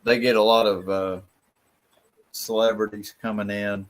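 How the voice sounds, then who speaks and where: A man's voice: lowish voice, flat delivery, a little slow, slight background noise. A man chatting casually on a livestream, talking plainly with his child and his viewers.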